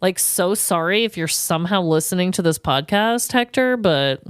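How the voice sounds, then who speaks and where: A woman's voice: fairly low pitch, lively intonation, normal speed, clean tone, no echo, clean studio sound. A woman co-hosting a podcast in casual comedic chat, slangy, chatty and emphatic. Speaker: podcast co-host.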